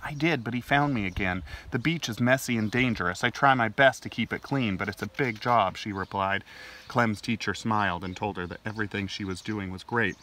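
A man in his thirties hosting storytime for young children outdoors, with an animated, gentle and expressive delivery. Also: fairly low pitch, fairly quick. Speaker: library storytime host. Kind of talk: read-aloud storytelling and chat for young children. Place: outdoors in a leafy garden. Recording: outdoor background noise.

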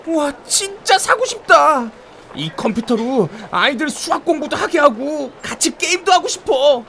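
Excitable awestruck female visitor, very high, swooping voice, gushing, storybook drama. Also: moderate pace, gravelly, a little hiss or hum, heavily acted. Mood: amazed. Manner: gushing, wide-eyed. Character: amazed, excitable female computer fair visitor. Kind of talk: children's audio storybook acting.

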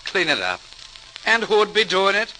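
Sharp-voiced, bitter Irish tenement man. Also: sits high, huge pitch swings, measured pace, exaggerated voice, low-quality recording. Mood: indignant. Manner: lilting idiom, rhetorical questions. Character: bitter Irish tenement dweller, weary and sardonic. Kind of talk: old-time radio acting. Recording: old radio recording, music behind.

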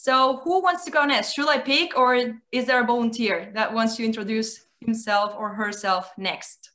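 A young woman from Spain, moderating an online panel over a video call, quick and upbeat. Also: fairly high pitch, lively intonation, pushed and bright. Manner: quick, upbeat, laughs often. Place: small room indoors. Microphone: laptop webcam on a video call.

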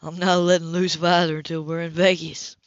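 A woman's voice: lowish voice, level tone, fairly quick, no room sound, dull audio, lightly acted. A woman voicing a determined, blunt, smitten man, insistent and earnest, in an audiobook romance. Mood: exasperated.

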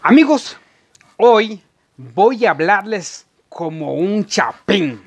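A middle-aged man, chatting casually to camera in a halting, repetitive way as a vlogger. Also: high voice, sing-song, breathy edge. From Guatemala. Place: indoor room at home.

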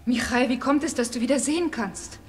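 A woman's voice: sits high, fairly quick, lightly acted. An actress playing an anxious, devoted young Russian woman in a German radio play. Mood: amazed.

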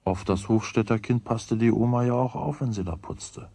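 An actor playing the storyteller, narrating a German children's radio play from a script. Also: male voice, medium pitch, normal speed, gravelly, dark tone, slightly rough, no echo. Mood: calm storytelling. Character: male storyteller of a children's tale.

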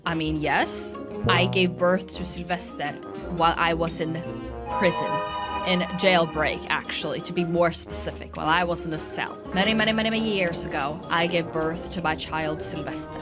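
A young woman, a native Swedish speaker, hosting a casual gaming video with playful, expressive, slightly dramatic chat. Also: on the low side, normal speed. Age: young adult. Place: small bright indoor room. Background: background music.

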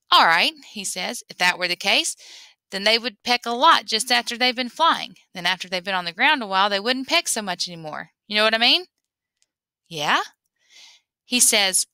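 A woman reading a memoir aloud in an expressive storytelling way. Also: a little high, no room sound. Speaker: reader.

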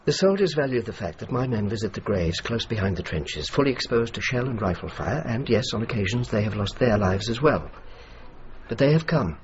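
A male actor plays a dutiful English official in a radio play. His mid-range voice is measured, educated and courteous yet insistent. The recording is a touch muffled, with faint noise. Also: mid-range voice, natural voice. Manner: formal, measured, earnest. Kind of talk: radio drama acting and reflective narration.

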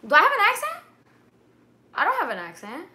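A woman's voice: very high-pitched, wildly swooping pitch, a little fast, pushed and bright. A young woman chatting casually on a livestream from her bedroom, slangy, chatty, swearing freely. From Dominican heritage. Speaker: vlogger on a livestream. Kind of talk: casual livestream chat, reading out viewer comments. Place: bedroom at night, wrapped in a blanket. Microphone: webcam or phone at arm's length.

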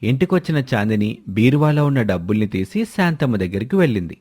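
A man narrating a moral story in a measured storytelling manner. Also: mid-range voice, very animated, a little mellow.

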